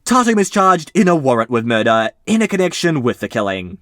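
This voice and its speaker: Man reading mysteries with a lively voice. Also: a little high, fairly quick, dry recording, clean studio sound. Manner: lively storytelling delivery. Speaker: channel host reading posts. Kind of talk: reading mystery posts aloud with casual asides.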